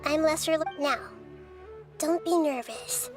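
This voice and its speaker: A woman voicing a small, wise and gentle young girl in a video game, speaking softly in a calm, measured, reassuring way. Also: high-pitched, over music.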